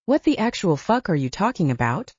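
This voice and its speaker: A woman's voice: mid-range voice, big pitch swings, brisk, slightly rough, dry recording, highs cut off. A woman voicing a hot-headed, foul-mouthed male werewolf soldier who threatens and growls in an audiobook. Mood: angry, defiant. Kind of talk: audiobook character dialogue.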